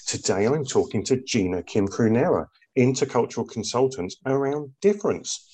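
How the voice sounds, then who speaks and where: A man's voice: mid-range voice, animated, moderate pace, dark tone, husky, poor audio. A man hosting a podcast, asking his guest questions in a friendly, conversational way. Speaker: podcast host. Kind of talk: podcast interview.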